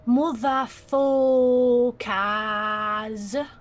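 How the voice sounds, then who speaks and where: A woman's voice: a little high, little pitch movement, very slow. An actress playing a sulky, defiant nineteen-year-old girl in an audio play, blunt and slangy. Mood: blunt, puzzled. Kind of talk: audio theatre acting.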